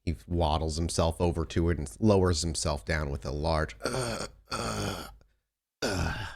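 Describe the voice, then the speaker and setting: A man with a low voice acts as storyteller for a tabletop roleplaying game. He describes scenes and settles small details for the players. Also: level tone, moderate pace, a little mellow. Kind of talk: narrating a tabletop roleplay podcast.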